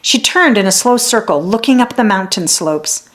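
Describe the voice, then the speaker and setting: A woman narrating a children's story as a read-aloud, in an expressive, deliberate storytelling manner. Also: mid-range voice.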